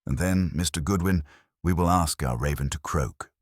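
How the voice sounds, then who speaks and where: A man's voice: sits low, moderate pace, dry recording, clean studio sound. A man voicing a terse, commanding, scheming master detective in a mystery story, imperious in manner.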